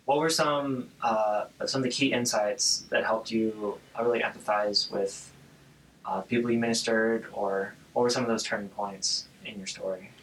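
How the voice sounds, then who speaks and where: A man's voice: fairly low pitch, steady tone, normal speed, low-quality recording. A man hosting a podcast interview, introducing and putting questions to a guest. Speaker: podcast host.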